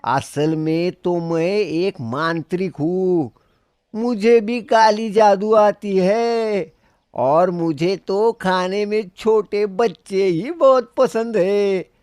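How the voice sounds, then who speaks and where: A man's voice: sits high, drawn-out words, a little mellow, clear, resonant tone, no echo, clean studio sound. A man playing a sly, brave woodcutter posing as a sorcerer in a children's cartoon, with exaggerated, wheedling delivery. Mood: boastful. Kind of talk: children's fairy-tale cartoon acting.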